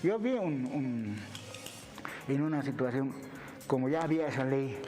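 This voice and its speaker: A man's voice: mid-range voice, expressive, a little slow, dark tone, in a large hall, faint music behind. A man with a Bolivian accent, a university lecturer explaining things didactically as an interview guest, punctuating his sentences with tag questions. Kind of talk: explanatory television interview talk.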